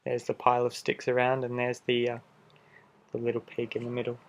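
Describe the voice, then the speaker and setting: A man reading a picture book aloud, with quiet, casual asides that point out the pictures. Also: mid-range voice, completely flat delivery, a little fast, slight background noise.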